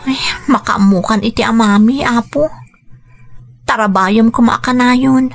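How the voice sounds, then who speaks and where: A high-voiced Ilocano daughter in a radio drama. She is caring but exasperated, pleading and reproaching with open emotion. Music plays faintly behind her. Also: a little slow, vocal fry. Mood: resigned, concerned.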